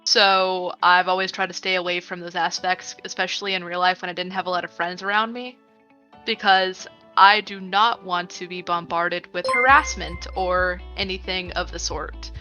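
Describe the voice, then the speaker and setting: A woman's voice: on the low side, steady tone, moderate pace, bright, forceful tone, highs cut off, faint music behind. A woman with a typically feminine voice, speaking earnestly on a panel. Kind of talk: casual panel talk.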